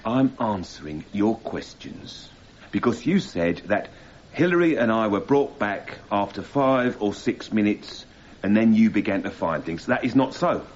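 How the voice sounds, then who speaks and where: An actor playing a stubborn, indignant police sergeant on the witness stand in a radio drama, giving curt, formal denials. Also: male voice, fairly low pitch, animated, unhurried. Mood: defensive. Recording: slightly dull, noisy radio recording.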